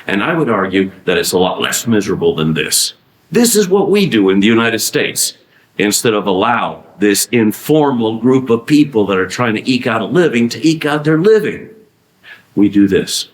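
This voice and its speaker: Low-voiced man lecturing in an echoey hall. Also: moderate pace. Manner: animated, asks questions and answers them himself. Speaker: conference speaker. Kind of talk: conference presentation. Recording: echoey room.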